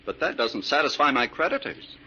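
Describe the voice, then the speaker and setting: Debt-ridden young nephew, polite, evasive, bright forceful tone, radio drama, noisy vintage recording. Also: male voice, mid-range voice, big pitch swings, a little fast, lo-fi sound. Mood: sheepish, pleading. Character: smooth-talking, debt-ridden nephew, a weak gambler. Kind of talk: 1940s radio drama acting.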